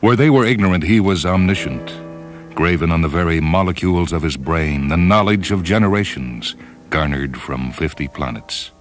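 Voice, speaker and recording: A man with an extremely deep voice narrates a story as a radio host. His delivery is measured and solemn, declaimed like grand storytelling, with music playing behind him. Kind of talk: dramatic scripted storytelling narration. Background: orchestral and piano music.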